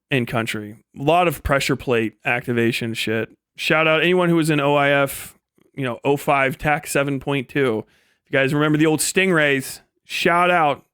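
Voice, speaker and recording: A man's voice: mid-range voice, a bit bright, clear, resonant tone, dry recording, clean studio sound. A middle-aged man telling stories in a casual, rambling livestream style, with lots of swearing and slang. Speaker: streamer telling stories from military service.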